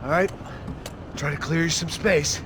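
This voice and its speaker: An actor playing Max in a video game, a weary, sardonic gunman for hire with a gravelly voice and a dry delivery. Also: a little high, fairly even intonation, measured pace, noisy background, lightly acted. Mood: focused. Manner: dry, sarcastic, world-weary. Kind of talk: video game cutscene acting.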